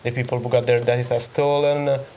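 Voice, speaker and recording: A man's voice: medium pitch, level tone, fairly quick, a little mellow, slight background noise. A young man from Italy, a student guest, explaining hesitantly with many fillers. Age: teens or young adult.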